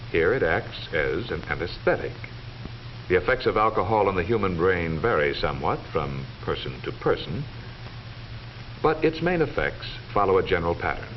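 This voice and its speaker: American male narrator, 1940s educational film style, precise and formal, animated intonation, old soundtrack with noticeable noise. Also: low voice, dull audio. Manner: measured, authoritative, precise.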